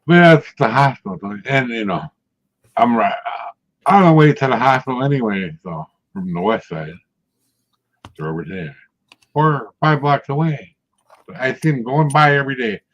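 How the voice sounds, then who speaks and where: A man's voice: medium pitch, expressive, moderate pace, a bit bright. A man in his sixties, chatting casually and telling rambling stories with frequent chuckles. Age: 60s. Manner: rambling storyteller, chuckles often. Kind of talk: casual chat. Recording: muffled lo-fi audio.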